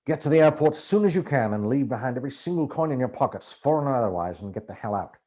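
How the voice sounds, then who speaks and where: A man acts out a profane American journalist in an audiobook, ranting and paranoid. His husky voice leaps about as he fires off emphatic, urgent commands. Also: mid-range voice, animated, fast, warm tone, lightly acted. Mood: urgent warning. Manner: urgent, barking, emphatic orders. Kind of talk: dramatized audiobook dialogue.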